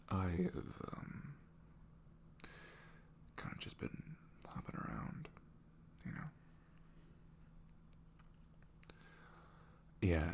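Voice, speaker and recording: A young man playing an awkward, apologetic college-age ex-boyfriend in an intimate ASMR audio roleplay, speaking softly and haltingly, often close to a whisper. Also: sits low, vocal fry, heavily acted. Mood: awkward, nervous. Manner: hesitant, halting, trailing off.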